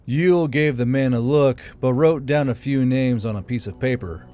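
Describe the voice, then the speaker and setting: A man's voice: mid-range voice, moderate pace. A man reading a fantasy novel aloud as scripted audiobook narration. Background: quiet background music.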